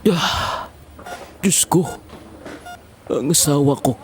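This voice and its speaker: A man acting in an audio drama as an anguished, devoted middle-aged husband, halting and pleading. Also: on the high side, a little hiss or hum. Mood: grieving, pleading. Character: anguished, devoted husband and father at hospital bedside.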